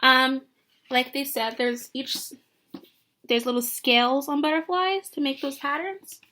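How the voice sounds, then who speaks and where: A woman with a very high, bright voice reads aloud as a teacher to young children. Her delivery is animated and instructive, and she often turns to her listeners with questions. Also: unhurried, slight background noise. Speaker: teacher reading a picture book to children.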